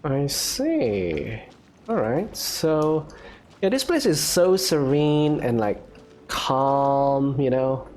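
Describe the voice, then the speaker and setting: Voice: medium pitch, sing-song, extremely slow, a little mellow. A gaming video host giving casual, chatty commentary while playing. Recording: faint background noise.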